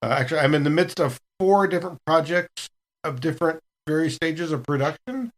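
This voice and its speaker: A man's voice: fairly high pitch, animated, brisk. A man talking casually as a cast member of a gaming podcast. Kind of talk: casual chat.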